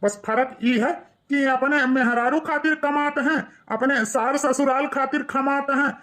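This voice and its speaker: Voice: high voice, fairly even intonation, a little slow, bright, forceful tone. A performer voicing a bitter, outspoken young village man in a cartoon moral story, taunting and reproachful, with an Awadhi accent.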